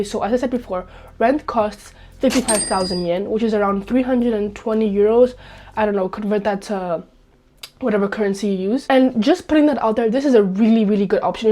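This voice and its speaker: A young woman from Spain talks fast and chattily, full of "like" and quick asides, her voice still a bit off from a recent cold. Her tone is mellow and soft rather than bright. She speaks to camera from a small dorm room. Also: medium pitch. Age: young adult. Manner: chatty, upbeat, lots of "like". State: recovering from a cold. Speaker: vlogger. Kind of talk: casual vlog talk to camera.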